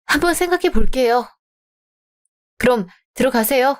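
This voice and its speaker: A young bride-to-be, voiced by a woman in a radio drama, speaking in a raised, higher-pitched voice. She is polite and measured, but she holds her ground. She speaks her mind without raising her voice. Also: lively intonation. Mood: cool.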